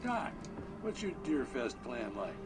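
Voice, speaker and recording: An actor playing a folksy, chummy small-town radio host in a video game. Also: male voice, mid-range voice, big pitch swings, measured pace, loud music behind the voice. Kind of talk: video game acting as radio show hosting.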